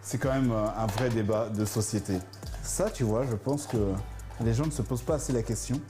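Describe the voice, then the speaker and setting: A man's voice: mid-range voice, brisk. A man hosting a live cooking stream, joking with the cook and reading viewer comments aloud in a playful, laughing way. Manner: playful, jokey, laughs often, talks to viewers. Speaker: livestream cooking show host. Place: home kitchen. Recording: roomy kitchen sound with background music.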